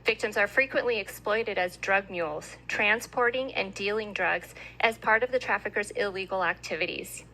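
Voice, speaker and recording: A woman's voice: mid-range voice, moderate pace, hardly any pauses, bright, forceful tone, a little hiss or hum, poor audio. A woman representing an advocacy group, steadily reading a prepared statement to a committee. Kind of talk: read committee testimony. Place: legislative committee hearing room.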